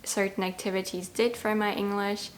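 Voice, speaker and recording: Vlogger, female, 20s, German accent, native German, mid-pitched, candid reflective delivery, faint hiss behind. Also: little pitch movement, normal speed. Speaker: language-learning vlogger. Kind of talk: personal storytelling to camera.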